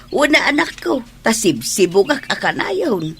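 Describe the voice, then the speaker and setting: A woman's voice: a little high, big pitch swings, unhurried, vocal fry, a bit bright, a little hiss or hum, full character voice. A woman playing a fussing, candid elderly mother in a radio drama, coaxing and scolding expressively. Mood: teasing, advising. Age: older.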